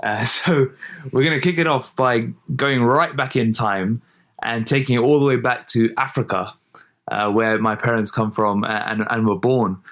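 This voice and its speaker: A man hosting a podcast episode and interviewing his parents in an upbeat, lively way. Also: medium pitch, moderate pace. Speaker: podcast host.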